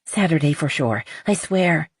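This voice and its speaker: A woman voicing an anxious, evasive young romantic heroine in an audiobook, halting and pleading. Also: low-pitched, animated, slightly rough, no echo, heavily acted. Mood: relenting.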